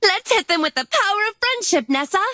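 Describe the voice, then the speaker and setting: Sonia, very high-pitched peppy young professor. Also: female voice, sing-song, fairly quick, bright, forceful tone, full character voice. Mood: bright, cheerful. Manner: cheerful, exclaiming. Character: peppy young female professor, friendly and teasing. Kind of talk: video game voice lines.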